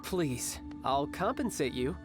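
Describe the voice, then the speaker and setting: A man playing a suave, breezy young military commander in a video game, with a smooth manner. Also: a little high, very animated, slow. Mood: gentle, apologetic. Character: suave young male military commander, doting older brother. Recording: game music under the voice.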